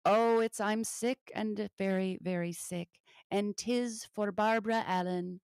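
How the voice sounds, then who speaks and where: A woman's voice: mid-range voice, steady tone, measured pace, a little mellow, clean tone, dry recording, clean studio sound, close to an everyday voice. A woman reading the lines of a dying, lovesick young man in a weak, sorrowful way.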